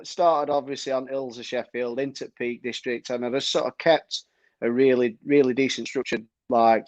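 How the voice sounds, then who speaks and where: Yorkshire man, 30s, relaxed rambling guest, mid-pitched voice, dry and muffled lo-fi audio. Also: no room sound. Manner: relaxed, rambling, many fillers and sort ofs. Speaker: guest trail runner. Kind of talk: casual interview chat. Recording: dry, dull lo-fi stream audio.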